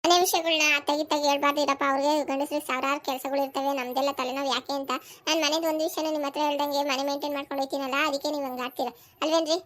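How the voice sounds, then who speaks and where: Lady doing a nagging village wife in a cartoon, rural southern karnataka accent, high voice, talks super fast. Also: steady tone, fairly bright tone. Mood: hurt, complaining. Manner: scolding, complaining, rhetorical questions. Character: overworked, sharp-tongued village housewife and mother. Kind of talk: family cartoon dialogue.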